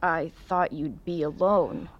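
Low-voiced, wary elderly widow. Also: female voice, takes it slowly, dull audio. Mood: doubtful. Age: older. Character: wary little elderly widow seeking contact with dead husband. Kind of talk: audio drama dialogue.

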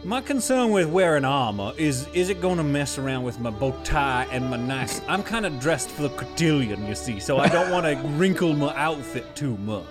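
A man's voice: fairly high pitch, lively intonation, moderate pace, few pauses, over music, natural voice. A man voicing a genteel, self-conscious young southern elf with a Southern US accent in an improvised tabletop game. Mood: genteel, chatty. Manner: courtly, folksy, apologetic. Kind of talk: improvised tabletop role-play.

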